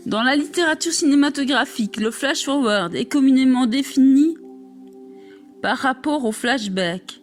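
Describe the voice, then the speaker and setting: High-pitched woman reading aloud. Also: expressive, normal speed, faint music behind. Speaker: reader of an academic text. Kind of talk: reading a scholarly text aloud.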